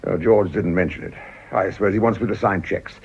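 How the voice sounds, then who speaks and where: An actor playing a gouty, gullible English lord in a radio drama, hesitant and stammering with an upper-class accent. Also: male voice, deep voice, a little fast, vocal fry, a little mellow, husky, exaggerated voice. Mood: polite, distracted. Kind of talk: broadcast radio drama acting. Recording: dull, lo-fi radio recording.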